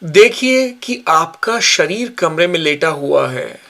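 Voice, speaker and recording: A man guiding a yoga nidra relaxation session with slow, calm, measured instructions. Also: a little high, gravelly. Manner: slow, calm, measured guidance with long pauses. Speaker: yoga teacher.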